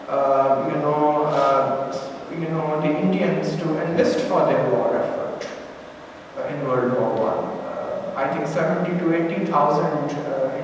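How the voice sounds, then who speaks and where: A man with a Pakistani accent gives a public lecture in a discursive, semi-improvised way, often adding "you know" as an aside. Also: a little high. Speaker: speaker giving a history talk. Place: indoor event room at a museum. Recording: very echoey hall, distant mic, faint noise.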